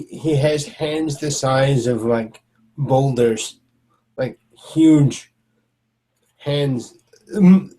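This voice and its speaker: A man narrating as game master of a tabletop roleplay, halting with frequent restarts. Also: on the high side, dark tone, low-quality recording.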